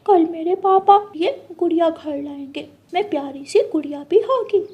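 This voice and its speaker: A woman's voice: very high-pitched, moderate pace, lo-fi sound, pitched above the performer's own voice. A woman voicing a cheerful, friendly little schoolgirl bird with a childlike voice in a cartoon. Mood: excited, friendly.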